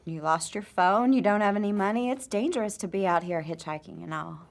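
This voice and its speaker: A kindly motherly wife, played by an actress in a naturalistic audio drama. She has a medium-pitched voice and a soothing, confiding way of talking. Her kindness carries a nervous, scheming edge. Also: a little fast, creaky, close to an everyday voice. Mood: reassuring. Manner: soothing, confiding, terms of endearment. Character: soft-spoken motherly wife, outwardly kind, nervously scheming. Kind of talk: naturalistic audio fiction acting.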